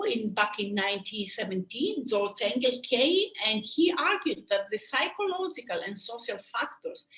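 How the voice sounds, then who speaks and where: A woman with a Greek accent presenting a sports medicine webinar, earnest and thoughtful, pausing often with "um" and restarting her phrases. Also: on the high side, a bit bright, roomy sound, rough recording. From Greece.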